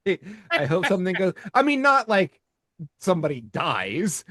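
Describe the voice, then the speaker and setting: Lively, laughing male podcast co-host. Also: sits high, a little slow, no room sound, studio quality. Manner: animated, laughs often, restarts sentences. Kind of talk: casual chat.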